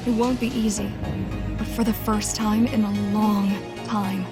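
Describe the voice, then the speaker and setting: An actress playing a resolute survivor, narrating a video game story solemnly and deliberately with quiet hope. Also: female voice, mid-range voice, level tone, over music, lightly acted. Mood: solemn, hopeful.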